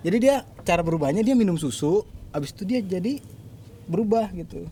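A man, in relaxed joking conversation, laughing often. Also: high voice, a little fast, a little hiss or hum. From Indonesia. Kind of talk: casual chat.

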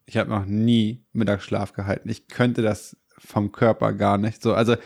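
Podcast co-host: man, low and gravelly, a bit stuffed up, relaxed and rambling. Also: moderate pace. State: slightly congested from a cold. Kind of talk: casual chat.

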